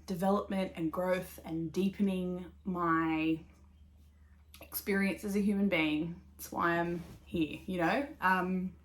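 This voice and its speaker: A woman in her thirties with an Australian accent, hosting a casual knitting podcast, talking upbeat and rambling with lots of fillers and little laughs. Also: on the low side. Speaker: podcast host.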